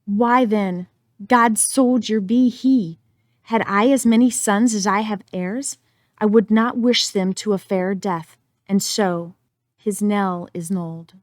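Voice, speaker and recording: Woman performing stoic old soldier father, Shakespearean verse, measured slow delivery, dry sound. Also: medium pitch, steady tone, no echo, close to an everyday voice. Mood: stoic grief. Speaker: amateur reader in library staff dramatic reading. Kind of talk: Shakespearean dramatic reading.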